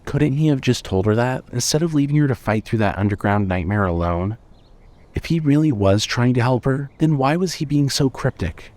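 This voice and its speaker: Measured male horror narrator. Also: medium pitch, expressive, a little mellow. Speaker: narrator of an audio fiction series. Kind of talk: scripted horror fiction narration.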